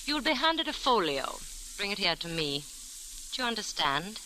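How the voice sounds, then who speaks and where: A woman's voice: mid-range voice, very animated, moderate pace, bright, forceful tone, low-quality recording. An actress playing a cool, threatening female spymaster in an old radio drama, precise, formal and imperious. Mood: cool, commanding. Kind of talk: 1940s radio detective drama acting. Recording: old radio recording with faint hiss.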